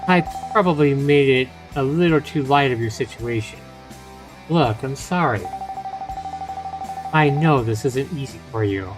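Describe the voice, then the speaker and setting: A middle-aged man hosts a game livestream, reading story text aloud at a slow, unhurried pace. He stumbles and rereads now and then and drops in casual asides to his viewers. Faint music plays behind his medium-pitched voice. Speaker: livestream host.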